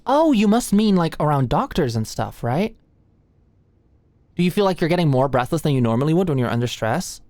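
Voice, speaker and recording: A woman's voice: deep voice, big pitch swings, brisk, no echo. A young woman playing an anxious, awkward female medical student in an intimate ASMR roleplay, speaking hesitantly and attentively. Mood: careful, nervous. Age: young adult. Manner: nervous, hesitant, stammering, softly attentive. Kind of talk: ASMR roleplay, intimate scripted one-sided dialogue. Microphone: close studio-style mic, dry.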